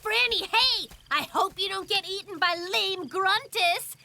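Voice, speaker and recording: An actor voicing a boastful, excitable young boy bear cub in a children's cartoon, with a bragging, dramatic delivery. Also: very high-pitched, unhurried, vocal fry, exaggerated voice. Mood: teasing. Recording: music behind the voice.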